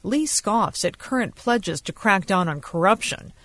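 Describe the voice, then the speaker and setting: Woman foreign correspondent reading a radio news story, mid pitch, very dry recording. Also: lively intonation, measured pace, fairly bright tone, dry recording. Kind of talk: scripted radio news report narration.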